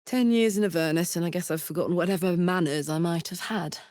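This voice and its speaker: A woman's voice: fairly low pitch, fast talker, a little mellow, dry recording, clean studio sound, natural voice. A woman voicing a brash, hot-blooded, big-hearted warrior woman in a video game, with an earthy, blunt, sweary and emotionally open manner. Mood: tender, earnest. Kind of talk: video game character voice lines.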